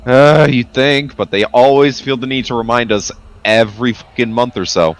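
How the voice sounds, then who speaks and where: A man's voice: mid-range voice, normal speed, clean tone, natural voice. A man playing a cynical, foul-mouthed space station worker in a tabletop game, grumbling and sardonic.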